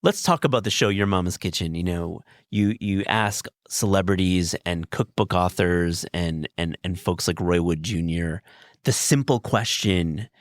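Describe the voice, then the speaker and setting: Deep-voiced male podcast host. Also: dry recording, clean studio sound. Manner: eager, encouraging listener. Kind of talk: casual conversation. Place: recording studio.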